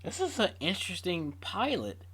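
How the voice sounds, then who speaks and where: A man's voice: sits high, wildly swooping pitch, normal speed, pushed and bright, slightly rough. A man giving casual reaction commentary as the host of a reaction video.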